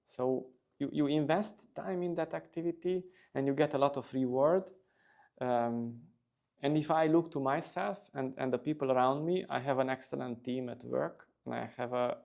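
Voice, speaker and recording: This is a middle-aged man speaking English, his voice dark and gravelly. He answers an interviewer in a calm, considered way, pausing with fillers and restarting his sentences. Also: medium pitch. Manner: thoughtful, steady, many fillers and restarts. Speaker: interview guest, company executive. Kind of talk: casual interview talk.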